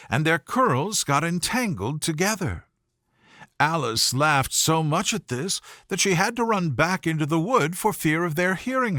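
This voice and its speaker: A man narrates a storybook in a measured, expressive way. His voice sits a little high and moves with very animated pitch. The tone is bright and forceful, recorded very dry. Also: dry recording. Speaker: audiobook reader.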